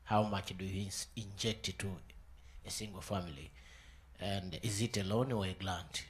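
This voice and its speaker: A man with a Tanzanian accent and a fairly low voice puts questions from the audience at a press conference. He is polite and halting, often repeating words as he goes. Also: fairly even intonation. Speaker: audience member asking questions.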